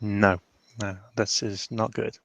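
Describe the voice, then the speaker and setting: A man playing a reluctant, troubled man in a tabletop roleplay, guarded, bitter and self-deprecating, speaking in a halting, exasperated way. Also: on the low side, little pitch movement, creaky, no echo, heavily acted. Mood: bitter, pleading.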